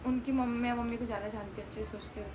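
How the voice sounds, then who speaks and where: High-voiced young woman vlogging with clear background noise. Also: steady tone, rapid-fire. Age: young adult. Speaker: family vlogger. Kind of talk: casual chat to camera in Hindi vlog. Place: at home, indoors. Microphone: phone propped up without a stand. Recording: noisy home phone recording.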